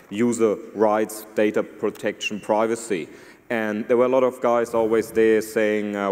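A man's voice: lowish voice, darkish tone. A man speaking informally and anecdotally as a panelist at a conference, in loose phrasing. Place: large conference hall stage, indoors. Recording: reverberant hall.

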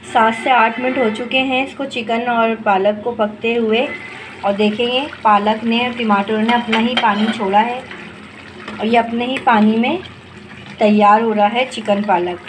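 A woman's voice: on the high side, fairly even intonation, a little slow. A woman, hosting a home cooking video and explaining each step to her viewers in a homely, instructive way, recorded on a phone over the stove in her kitchen. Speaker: cooking channel host. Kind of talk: home cooking tutorial narration. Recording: noisy with frying sizzle behind. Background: sizzling and stirring in a pot.

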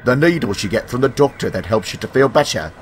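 Man playing a sensible, lively engine driver. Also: mid-range voice, fast, slight background noise, voice pushed up, natural voice. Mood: reassuring. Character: sensible, reassuring adult male engine driver. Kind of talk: children's storybook character acting. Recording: music playing behind the voice.

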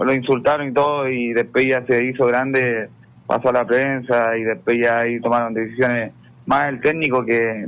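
Young male Chilean footballer, halting answers, lo-fi radio audio. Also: mid-range voice, a bit bright. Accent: Chilean Spanish. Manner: halting, repeats words and says "claro" often. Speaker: football player guest.

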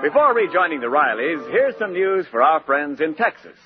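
A man's voice: fairly high pitch, very animated, moderate pace, faint music behind. An American man announcing on 1940s radio, reading news and ad copy in a polished showman's style. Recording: muffled 1940s radio recording, faint hiss.

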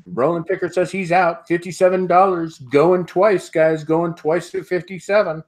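Guy running an online auction over a video call, voice a bit high, repeats the bids over and over, earbud mic. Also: normal speed. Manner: steady repetitive bid calling, laughs often. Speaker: auctioneer host. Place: home room with sports memorabilia. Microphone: earbud headset on video call.

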